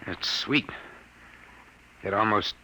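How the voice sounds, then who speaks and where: An actor playing a nervous, hesitant private detective in an old-time radio drama. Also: male voice, lowish voice, takes it slowly, sharp tone, heavily acted. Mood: polite, uneasy. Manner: hesitant, stammering. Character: nervous, desperate male private detective, drugged and pleading. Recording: hissy, noisy old radio broadcast.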